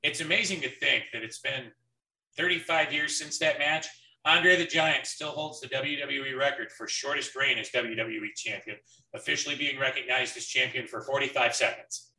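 Man in his thirties, very bright forceful voice, echoey, distant from the mic, casual sports chat. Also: medium pitch, normal speed. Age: early 30s. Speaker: podcast co-host. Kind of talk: casual wrestling watch-along commentary.

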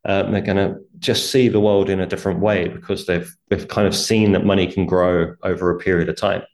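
A man's voice: low-pitched, level tone, brisk, a little mellow. A man, a guest author, explaining money habits earnestly in a conversational interview, with light laughs.

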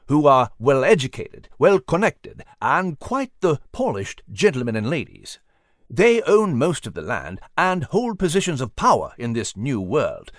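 A refined mouse gentleman, acted out by a male narrator, lectures with courteous, professorial polish. The delivery is very lively and swooping, in a storybook style, recorded close and dry. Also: on the high side, dry recording. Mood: lecturing. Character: refined, bespectacled British gentleman mouse, scholarly and polite.

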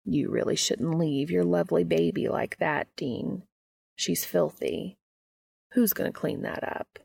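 A sultry male angel played by a woman narrator in an audio story. He is teasing and commanding, drawling slowly in a low, creaky voice. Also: a little mellow, no room sound, heavily acted.

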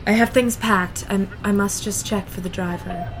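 A woman's voice: medium pitch, steady tone, normal speed, slight background noise, close to an everyday voice. A woman playing the insistent, tormenting ghost of a dead woman in an audio drama. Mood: urgent. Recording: music under the voice.

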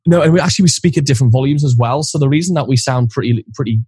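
A man co-hosting a podcast with a loud voice, chatting casually and explaining gear step by step, recorded on a studio mic in an office corner. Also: mid-range voice, rapid-fire, slightly rough, dry recording. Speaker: podcast co-host. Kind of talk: casual chat. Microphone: studio condenser mic on boom arm.

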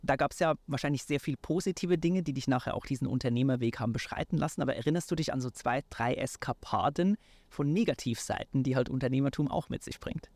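A man's voice: mid-range voice, fast talker, a little mellow, no room sound. A man hosting a podcast from inside a camper van, greeting listeners and his guest in a chummy, upbeat way. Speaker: podcast host. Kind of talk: casual podcast interview.

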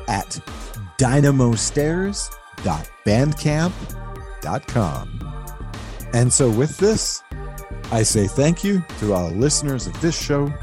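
A man hosting a podcast in disc jockey style, conversational with playful asides. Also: lowish voice. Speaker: podcast host and co-producer.

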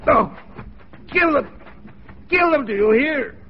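An actor playing a villainous, imperious rebel leader inciting war, harsh and gravelly, in a 1940s radio drama. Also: male voice, extremely high pitch, lively intonation, takes it slowly, slight background noise, full character voice. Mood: frantic, furious. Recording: dull vintage radio recording with music.

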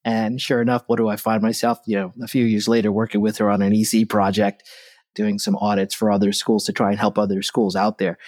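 Man, lowish voice, friendly colleague recalling past work together. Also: flat delivery, a little fast, darkish tone. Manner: friendly, anecdotal. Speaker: colleague giving a testimonial. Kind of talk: casual recollection.

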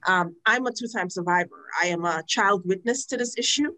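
Woman, 40s, from Trinidad; interview guest and advocate; frank, earnest storyteller, mid-pitched voice. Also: brisk. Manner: frank, earnest storytelling with animated quoted asides. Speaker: guest, domestic violence advocate. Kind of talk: personal testimony in a podcast interview.

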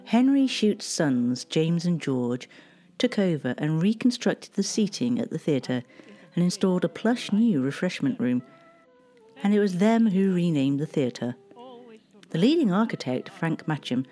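A narrator giving scripted historical narration in a measured, solemn reading. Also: medium pitch, warm tone. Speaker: documentary narrator.

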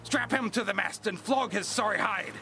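An actor playing a tyrannical, short-tempered sailing captain who barks threats and curses in a seafaring audio drama. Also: male voice, high voice, normal speed, vocal fry, noisy background. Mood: furious. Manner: barking, cursing, threatening commands.

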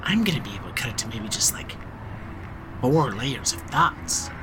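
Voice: mid-range voice, big pitch swings, moderate pace, over music, lightly acted. An actor playing a jaded, bossy, sardonic insider of a crime family in a modern audio drama. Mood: giddy, exasperated. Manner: sardonic, sweary, commanding.

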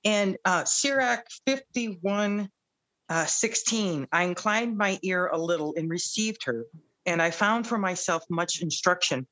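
A woman guest sharing her faith story in casual conversation, earnest and grateful, at times reading scripture aloud slowly. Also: medium pitch, a bit bright, poor audio. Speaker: guest, radio producer.

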